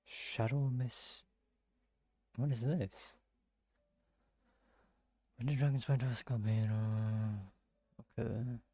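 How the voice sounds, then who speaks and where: Male game streamer, very creaky, close dry sound, casual, thinking aloud. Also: mid-range voice, little pitch movement, mellow, no echo. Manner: musing aloud, halting. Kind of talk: casual gameplay commentary.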